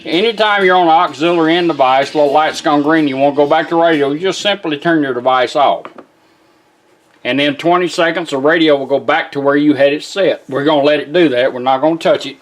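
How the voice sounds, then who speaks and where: A man with a Southern US accent giving a casual, plain-spoken, unhurried product demonstration as a radio restorer. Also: on the high side.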